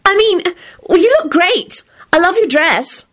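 A woman's voice: extremely high pitch, expressive, unhurried, fairly bright tone, voice pushed up, heavily acted. A woman voicing a blunt, impulsive young woman who blurts and exclaims in a storybook reading. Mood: surprised.

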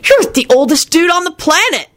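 A woman's voice: extremely high pitch, big pitch swings, normal speed, pitched above the performer's own voice. A woman voicing a rude, easily irritated boy in a storybook, with blunt, slangy slacker talk. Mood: amazed. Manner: drawling slang, says dude and man constantly.

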